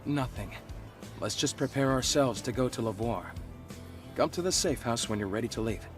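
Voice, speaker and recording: An actor playing a calm, pragmatic male companion in a video game, understated and matter-of-fact. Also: mid-range voice, moderate pace, music in the background, lightly acted. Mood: guarded. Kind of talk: video game character acting.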